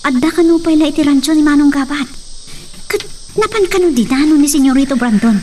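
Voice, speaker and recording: A woman plays an anxious young daughter in a radio-style drama. Her voice is fairly high, earnest and emotive. There is faint insect-like noise behind her. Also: fairly quick, lightly acted. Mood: anxious, scheming. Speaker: drama actor.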